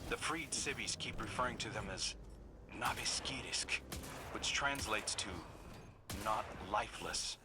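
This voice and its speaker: An actor playing a principled young warrior leader, briefing a soldier over comms in a calm, firm, businesslike way. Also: male voice, medium pitch, a little slow, over music, close to an everyday voice. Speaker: mission commander on comms. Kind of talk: mission radio briefing. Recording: game audio with clear background noise.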